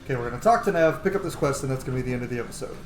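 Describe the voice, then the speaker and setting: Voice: mid-range voice, expressive, very fast, echoey room, slight background noise. A gaming host giving casual, chatty, reactive let's-play commentary. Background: video game music.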